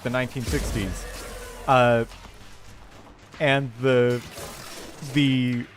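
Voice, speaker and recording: A man streaming a game and rambling casually, thinking aloud in a halting way as he plays. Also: mid-range voice. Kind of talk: casual rambling commentary while gaming.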